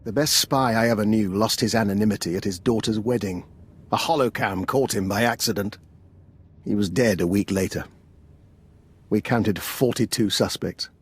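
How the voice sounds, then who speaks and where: Seasoned spy, lowish husky voice, grave, wary, measured, video game dialogue. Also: male voice. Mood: grave warning.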